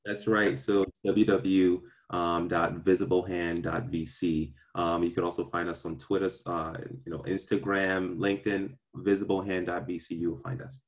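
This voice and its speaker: Very deep-voiced young man, earnest. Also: level tone. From born in Ghana, raised in Brooklyn. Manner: earnest, measured, many ums and repeated starts. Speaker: venture capital partner, guest. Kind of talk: casual interview answers. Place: outdoors in a park. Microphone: video call webcam.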